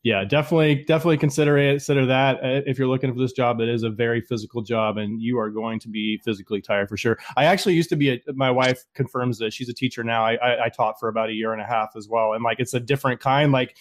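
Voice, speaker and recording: A man in his late thirties hosting a casual interview, chatty and quick, full of fillers. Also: medium pitch, fairly even intonation. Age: 30s. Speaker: podcast host, zookeeper.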